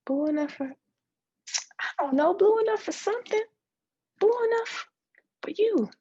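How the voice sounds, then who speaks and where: A woman's voice: extremely high pitch, expressive, unhurried, mellow, muffled recording, voice pushed up. A woman voicing an anxious young girl who pleads and keeps asking insistent questions in a dramatic literary read-aloud. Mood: pleading, fretful. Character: young girl, anxious, pleading, obsessed with having blue eyes.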